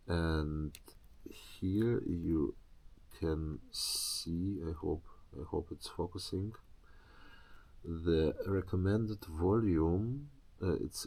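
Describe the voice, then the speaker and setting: Very deep, halting man, gravelly. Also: darkish tone. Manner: hesitant, halting, searching for words. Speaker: hobbyist presenter. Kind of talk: casual unscripted show-and-tell to camera.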